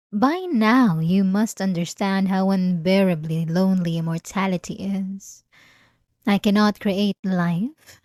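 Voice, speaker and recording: An ancient vampire woman, acted by a female performer speaks slowly in a close, dry ASMR roleplay. She is possessive and seductive, drawing out her phrases with theatrical, teasing menace and a lonely ache. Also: medium pitch, a little mellow, dry recording, lightly acted. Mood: lonely, wistful. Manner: dramatic, teasing, drawn-out delivery with breathy asides.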